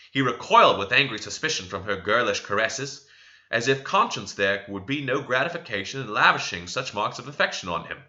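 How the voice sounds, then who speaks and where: A man's voice: medium pitch, pushed and bright, muffled recording. A man reads a classic novel aloud as an amateur narrator, expressively but with the occasional stumble. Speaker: amateur audiobook reader.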